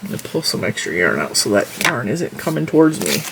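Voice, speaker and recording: A woman's voice: rumbling low, very animated, a little fast, vocal fry, background noise. Congested woman chatting through a crochet tutorial. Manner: chatty, talks while counting stitches and rows. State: congested, stuffy nose. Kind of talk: casual crochet tutorial with chat.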